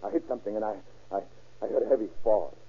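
Guilty murder suspect, stammering, nervous, rough-edged voice, 1930s radio melodrama, very thin old recording. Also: male voice, fairly low pitch. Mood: haunted confession. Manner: halting, stammering, pleading. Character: cornered male murder suspect, guilt-ridden and jittery. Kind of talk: 1930s radio crime drama acting. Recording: muffled, tinny old-time radio recording.

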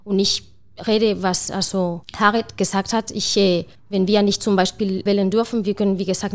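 A woman from Spain speaking German with a Spanish accent, a panel guest who argues earnestly and fluently, with a few searching restarts. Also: medium pitch. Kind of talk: panel discussion.

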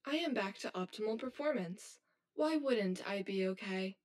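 A woman playing a cold, blunt high-achieving schoolgirl who talks in a stiff, formal, robotic way in an audio drama. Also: expressive, moderate pace, natural voice. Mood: flat, detached. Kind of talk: scripted audio drama acting.

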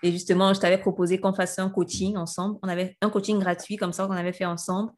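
A woman's voice: mid-range voice, little pitch movement, fast, darkish tone. A woman hosting a podcast, talking in a friendly, chatty way and often repeating words while she looks for the next one. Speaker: podcast host. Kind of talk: casual podcast conversation.

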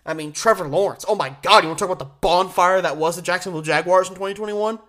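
Male sports podcast host: conversational solo commentary, opinionated delivery, leans hard on key words with deliberate pauses. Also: sits high, fairly bright tone.

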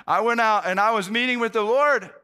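High bright-voiced earnest male pastor. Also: fairly quick, smooth. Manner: earnest, informal, with frequent restarts and "man". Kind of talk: conversational sermon.

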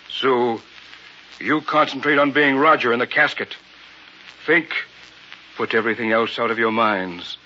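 An actor playing a cold, menacing madman posing as a professor in an old radio horror play, deliberate and domineering. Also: medium pitch, pushed and bright. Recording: dull old radio recording with noise.

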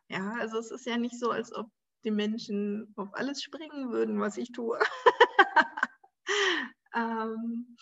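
Solo podcast host, German woman, slightly high voice, unhurried and musing, a bit lo-fi. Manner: relaxed, searching, frequent ums and soft laughs. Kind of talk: casual solo podcast monologue.

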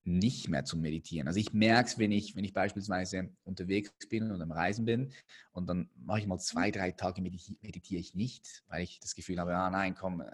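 Male host, husky, low-ish, slight cold; relaxed, stammering delivery. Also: a little fast. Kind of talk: casual conversation.

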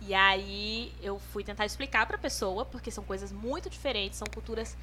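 A young woman from northern Brazil, co-hosting a casual group chat and explaining points in a relaxed, chatty way. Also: mid-range voice, fairly quick, slight background noise.

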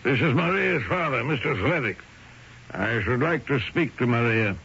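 Old muffled radio drama, controlling worried dad, stiff formal talk, halting, voice swinging up and down. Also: male voice, medium pitch, expressive, sharp tone, breathy edge, full character voice. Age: middle-aged. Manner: halting, insistent, formal phrasing. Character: anxious, controlling immigrant father, proud and protective. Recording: dull, noisy old radio recording.

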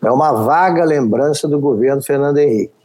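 A man's voice: medium pitch, brisk, a little mellow. A man with a Brazilian accent hosting a political talk show, giving opinionated, wry commentary. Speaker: show host.

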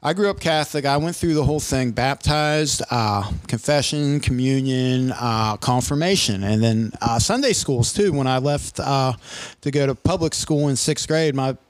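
A man's voice: mid-range voice, normal speed. A man co-hosting a podcast, chatting casually and laughing as he tells stories. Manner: joking, laughs while telling stories. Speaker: podcast co-host. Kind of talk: casual chat.

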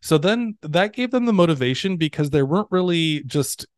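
A man's voice: fairly high pitch, lively intonation, moderate pace, no echo. Rambling male professor on a dry mic. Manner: rambling, many fillers and restarts, quotes others in his stories. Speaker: ESL professor and guest. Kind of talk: casual interview talk.

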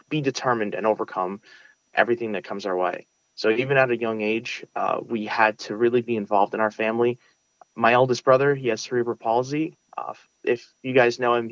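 A man's voice: mid-range voice, steady tone, a little fast, fairly bright tone, dry recording, lo-fi sound. A young man from Brooklyn, earnestly answering interview questions with many restarts and fillers. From United States (Brooklyn). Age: young adult. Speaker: interview guest, valedictorian. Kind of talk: casual interview.

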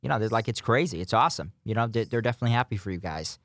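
A man's voice: fairly low pitch, expressive, very fast, fairly bright tone, no echo, clean studio sound. A young man hosting a call-in sports radio show, who talks hesitantly and fills it with "you know". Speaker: radio host.

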